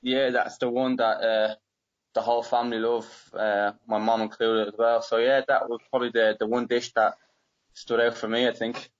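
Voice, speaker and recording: A man's voice: medium pitch, level tone, moderate pace, dull audio. A young man with a Dublin Irish accent, answering interview questions casually and hesitantly, with many fillers and restarts. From Ireland. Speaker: footballer guest.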